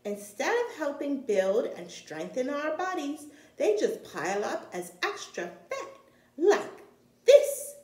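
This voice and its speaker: A woman's voice: sits high, big pitch swings, slow pace, darkish tone, reverberant, pitched above the performer's own voice, exaggerated voice, rough recording. Storybook lady bear doctor, played by a woman reader: firm, reassuring, emphatic, with swooping intonation. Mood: earnest, instructive. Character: kindly, firm female bear doctor giving health advice.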